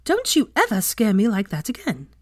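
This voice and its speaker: A woman's voice: fairly high pitch, sing-song, normal speed, dark tone, dry recording, studio quality, pitched above the performer's own voice. A woman voicing a no-nonsense mother of a grown son, emphatic and emotional, fierce and loving.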